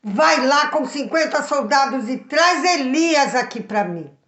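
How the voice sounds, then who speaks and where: A woman's voice: high-pitched, expressive, unhurried, a bit bright. A Brazilian woman voicing a wicked, demanding king who gives emphatic orders in a children's Bible story. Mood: commanding. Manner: commanding, emphatic. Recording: echoey room.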